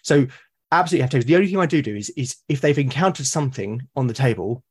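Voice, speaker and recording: A British man speaking as a podcast guest in casual conversation, haltingly and often repeating words as he thinks aloud. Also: mid-range voice, lively intonation, husky. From Britain. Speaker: podcast guest, solo roleplaying gamer.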